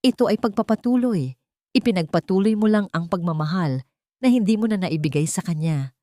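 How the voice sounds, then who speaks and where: A woman's voice: fairly low pitch, expressive, fairly quick, warm tone, no echo, natural voice. A woman voicing an earnest, remorseful father in love in an audiobook, solemn and heartfelt. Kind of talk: dramatic audiobook dialogue.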